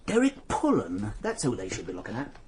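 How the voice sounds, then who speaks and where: An actor playing a gossipy, deferential village shopkeeper with an English accent and a knowing, insinuating manner in a radio drama. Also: male voice, lowish voice, wildly swooping pitch, brisk. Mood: sly, insinuating. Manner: knowing, sly asides.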